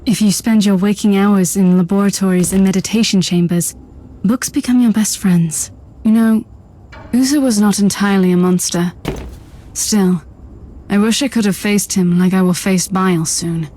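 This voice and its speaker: A woman's voice: medium pitch, fairly even intonation, moderate pace, mellow, slight background noise, lightly acted. A woman playing a cynical, defiant young heroine in a video game, with a dry, resolute delivery. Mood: brooding. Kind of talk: dramatic video game acting.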